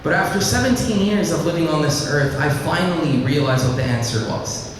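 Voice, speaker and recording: A teenage boy from Iraq, raised in Lebanon, giving an earnest personal speech to an audience and telling his story at a measured pace. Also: a little high, a little hiss or hum. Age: teens. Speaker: student speaker. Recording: echoey hall, mic at a distance.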